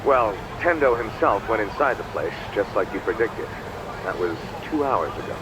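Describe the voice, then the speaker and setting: A man's voice: lowish voice, lively intonation, moderate pace, background noise, close to an everyday voice. A man voicing a composed, friendly ally in a crime drama video game. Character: Nick, composed friendly ally watching from afar. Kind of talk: video game cutscene acting.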